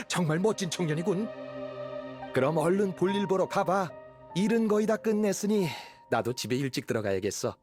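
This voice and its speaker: A hearty middle-aged family man from an anime game. His fairly high voice is animated and avuncular. Music plays clearly behind him. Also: moderate pace. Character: genial middle-aged father, friendly townsman.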